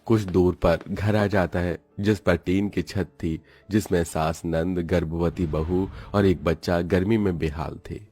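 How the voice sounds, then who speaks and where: A man's voice: low voice, fairly even intonation, measured pace, few pauses, darkish tone, breathy edge. A man reading scripted, dramatic narration as a storyteller.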